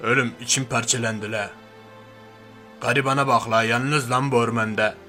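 A man puts on a brash, slangy macho oaf from a cartoon parody. The voice is sharp and forceful, full of grumbling bluster. Music plays under it. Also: medium pitch, fairly even intonation, normal speed, lightly acted. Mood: sentimental. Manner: blustering, slangy, threatening then sentimental. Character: brash, grumbling, hairy macho man turned reluctant superhero. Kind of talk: cartoon parody character dub.

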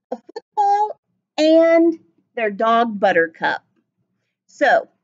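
A woman's voice: high voice, highs cut off. A woman in her forties, an elementary teacher, reading a story aloud to young children with sing-song, exaggerated emphasis and speaking to them directly. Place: small indoor classroom with cabinets and whiteboard.

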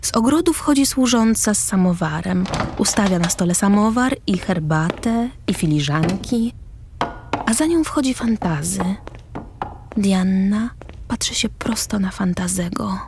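A woman's voice: medium pitch, lively intonation, unhurried, background noise. A woman narrator reading the scripted stage directions of a radio drama. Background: music.

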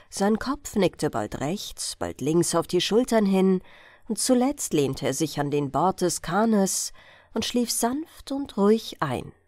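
A woman narrating a fairy-tale audiobook for bedtime in an expressive, measured storytelling manner. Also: fairly low pitch, no room sound, studio quality.

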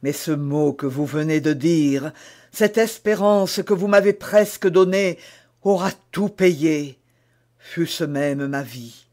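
A man's voice: a little high, slow pace, a little mellow. A man reading aloud as an ardent, lovestruck nobleman who speaks fervently and fatalistically. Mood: melancholy. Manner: fervent, declamatory.

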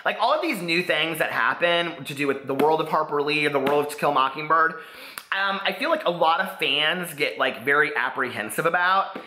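A man in his forties with an American accent, chatting casually to camera in an excitable, rambling way with lots of "like". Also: on the high side, brisk. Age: 40s. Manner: excitable, rambling, peppers talk with "like". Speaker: book vlogger. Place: living room, indoors. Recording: echoey living room.

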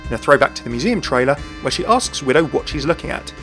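A man's voice: mid-range voice, sing-song, quick pace, a bit bright, faint music behind. A man hosting a video, reading scripted commentary on a video game. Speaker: video host.

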